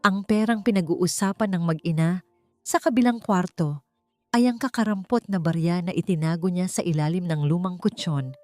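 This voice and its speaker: A woman's voice: lowish voice, normal speed, dark tone, no room sound, studio quality, faint music behind. A woman with a Filipino accent narrates a scripted dramatic story in an emotive, solemn way.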